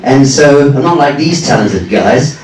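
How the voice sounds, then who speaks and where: A man's voice: medium pitch, lively intonation, a little slow, soft background music. An older man from Zimbabwe, a cruise director and musician, chatting and joking with a lounge audience between songs. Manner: self-deprecating, joking with the audience. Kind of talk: live stage patter between songs. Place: ship's lounge stage. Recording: roomy, from a distance.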